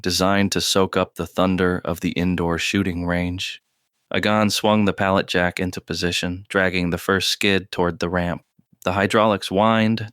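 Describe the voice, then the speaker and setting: A man narrating a scripted audio drama in a measured, terse storytelling manner, recorded close and dry. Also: low voice, fairly bright tone, clear, resonant tone, no room sound.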